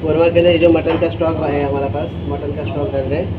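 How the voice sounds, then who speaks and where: A man presents a recipe in a casual, instructional way. His voice sits a little high for a man. It is recorded in a very noisy kitchen, with a loud roar behind him. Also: little pitch movement, fast, narrow, tinny audio. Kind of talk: casual cooking demonstration narration. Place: restaurant kitchen, indoors.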